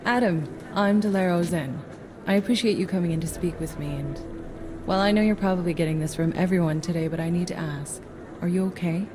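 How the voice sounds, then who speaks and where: An actress playing a composed, straight-talking agency doctor in a video game, measured, dry and probing. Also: female voice, on the low side, over music, close to an everyday voice. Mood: calm concern. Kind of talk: video game cutscene acting.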